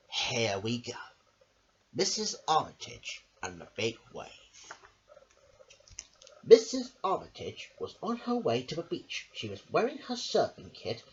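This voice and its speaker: Very animated woman storyteller, muffled recording. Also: rumbling low. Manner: theatrical, emphatic, with stumbles over words. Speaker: storyteller on own channel. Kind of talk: animated children's story read-aloud. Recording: muffled, hissy home recording.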